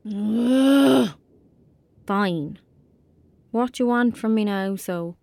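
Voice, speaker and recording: A woman's voice: fairly high pitch, extremely slow, a little mellow, no echo. A defiant, dreamy young Irish girl. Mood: prickly, sarcastic. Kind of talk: audio drama acting.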